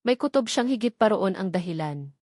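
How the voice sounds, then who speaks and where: A woman's voice: medium pitch, expressive, brisk, darkish tone, no echo, clean studio sound. A woman with a Filipino accent narrating a scripted romantic drama as an audiobook storyteller.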